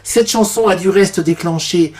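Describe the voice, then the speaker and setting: A man's voice: high-pitched, normal speed, a little mellow. A man co-hosting a radio music show, reading out an artist's career and chatting about it. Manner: reads facts aloud, then comments in an easy chatty way. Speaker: radio co-host.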